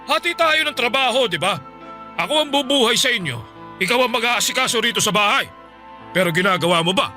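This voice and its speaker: An actor playing an irritable husband in a radio drama, speaking curtly and defensively. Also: male voice, very high-pitched, lively intonation, fairly quick, sharp tone, faint music behind, full character voice. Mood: annoyed. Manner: curt, dismissive, defensive. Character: irritable husband dodging his wife. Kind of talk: Filipino radio drama acting.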